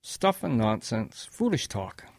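A man's voice: mid-range voice, very animated, a little slow, creaky, no echo, heavily acted. An actor playing a patient old grandfather telling of his wartime boyhood in a radio drama. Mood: patient, reminiscing.

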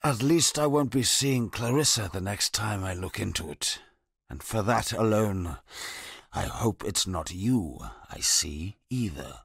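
A bitter, disfigured convict speaks in a very deep, gravelly, husky voice, slow and brooding. His wary, sardonic lines fall dry and close, recorded in a studio for a game. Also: animated, a bit bright, dry recording, exaggerated voice. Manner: low, brooding and haunted, sardonic.

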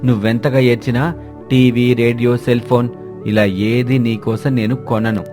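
A man as a stubborn cartoon crow husband, mid-pitched. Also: level tone, measured pace, warm tone, lightly acted. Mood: suspicious, accusing. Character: suspicious, stubborn crow husband who gives in. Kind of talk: dramatized moral story cartoon dialogue. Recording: music bed behind voice.

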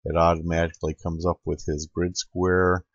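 A man giving an unscripted screen-recording tutorial as an amateur radio operator, explaining step by step in a halting way. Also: low-pitched, level tone, dry recording. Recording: dull, lo-fi home computer recording.

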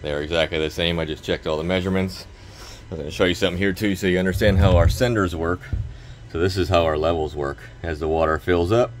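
A man in his thirties working on a boat, explaining each repair step in a relaxed, casual way. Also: a bass voice, a little hiss or hum. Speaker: boat mechanic. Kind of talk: casual how-to narration while working. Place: inside a boat cabin.

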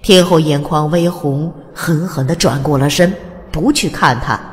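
A woman's voice: low-pitched, a little slow. A woman reading scripted narration of a fantasy audiobook in a dramatic storytelling style. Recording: long hall reverb.

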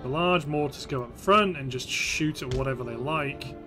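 A man's voice: mid-range voice, normal speed, over music. A British man giving casual let's play commentary on a strategy game, thinking aloud in short remarks. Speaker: gameplay host.

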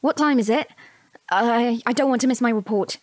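A woman's voice: on the high side, moderate pace, a little mellow, no room sound, close to an everyday voice. A woman playing an affectionate, down-to-earth wife in a radio drama, with casual, teasing, natural speech. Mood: eager.

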